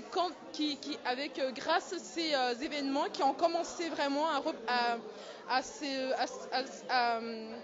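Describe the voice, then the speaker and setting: A woman model interviewed at a gala, speaking hesitantly with many fillers. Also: high voice. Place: crowded gala hall. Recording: muffled lo-fi audio with crowd noise.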